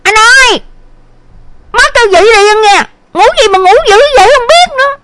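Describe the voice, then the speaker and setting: A woman voicing a cheeky, excitable young village daughter with a whiny, exclamatory delivery and a southern Vietnamese accent, in an audio story. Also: very high-pitched, unhurried, heavy background noise. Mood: impatient calling. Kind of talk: dramatised audio story acting.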